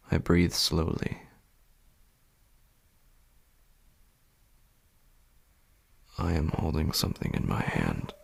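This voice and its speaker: A man playing a frightened, sleepless husband in a horror story, narrating haltingly in a hushed, breathy, gravelly voice that drops toward whispers. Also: sits low, heavily acted. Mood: shaken dread. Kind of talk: scripted horror fiction narration, first person.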